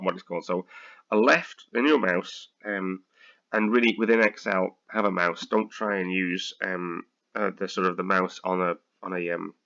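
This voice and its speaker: A British man with a slightly croaky voice, tutoring accounting students through a software lesson, hesitant with many ums and restarts. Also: fairly low pitch, muffled recording. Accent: British English. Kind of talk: screen-recorded software tutorial.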